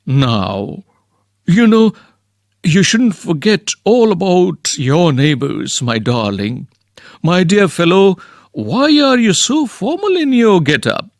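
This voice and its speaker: A man's voice: high voice, sing-song, slow, no room sound, clean studio sound, full character voice. Man reading a gushing old landowner. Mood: effusive. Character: fussy effusive old male landowner. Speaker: reader of a play part. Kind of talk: amateur play reading.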